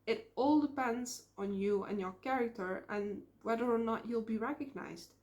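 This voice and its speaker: A young woman with a Dutch accent, talking to camera in a casual vlog, earnest and reflective, often pausing on fillers. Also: on the high side, a little mellow. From Netherlands. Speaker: small YouTube creator.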